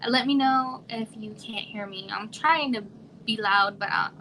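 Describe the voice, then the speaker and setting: A soft-spoken woman from Arizona, with a somewhat high voice, chats casually with viewers from home. She trails off and now and then sinks to a near whisper. Steady hiss and room noise sit under the livestream audio. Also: a little slow, poor audio. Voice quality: quiet voice. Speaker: livestream host. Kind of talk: casual live chat with viewers.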